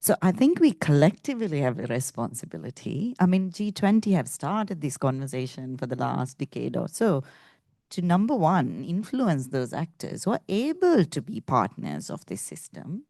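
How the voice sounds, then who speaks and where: A woman's voice: low voice, very animated, normal speed, vocal fry, warm tone, dry recording, studio quality. A woman speaking English as a second language, earnestly making her point on a discussion panel. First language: not English. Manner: earnest, thinking aloud with restarts.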